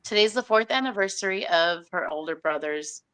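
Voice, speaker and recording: A woman co-hosting a tarot live stream, chatting in a warm, self-deprecating way. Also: medium pitch, expressive, fairly quick, smooth, dry recording. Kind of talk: casual live stream chat.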